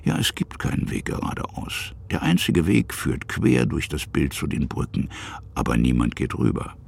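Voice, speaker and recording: A man with a gravelly, husky voice narrating a literary radio drama in short, weighty, dramatic phrases. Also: rumbling low, sing-song, moderate pace, darkish tone, full character voice.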